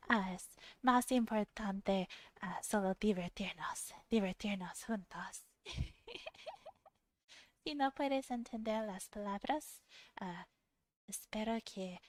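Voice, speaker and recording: A woman streaming ASMR, whispering softly and playfully to her viewers with frequent giggles, close to a sensitive microphone. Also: medium pitch, no echo. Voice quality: soft whispery voice. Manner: giggly, playful, speaks very quietly. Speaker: VTuber streamer. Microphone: very sensitive ASMR microphone, left and right sides.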